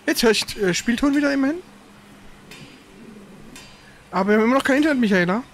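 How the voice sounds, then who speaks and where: Livestream gamer, casual chat, grumbling and exasperated, halting delivery, mid-pitched voice, slight background noise. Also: animated, poor audio. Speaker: game livestream host. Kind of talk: casual livestream chat during technical trouble.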